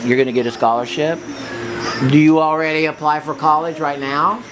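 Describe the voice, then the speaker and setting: Very low-voiced chatty woman in her fifties. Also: fairly even intonation, normal speed, background noise. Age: 50s. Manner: teasing, chatty, trails off and restarts. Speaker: charity organizer chatting with teens. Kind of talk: casual chat, asking questions.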